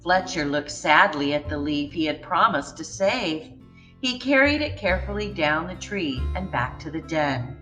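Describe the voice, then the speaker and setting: A woman reading a children's picture book aloud in a gentle, expressive way. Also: sits low, normal speed, soft background music, low-quality recording. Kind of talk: storybook read-aloud.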